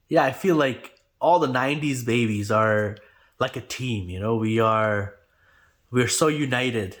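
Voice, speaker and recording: A man's voice: mid-range voice, fairly bright tone. A man in his twenties, Gujarati native speaker from India, chatting casually in an interview with lots of fillers and easy laughter. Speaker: channel host being interviewed.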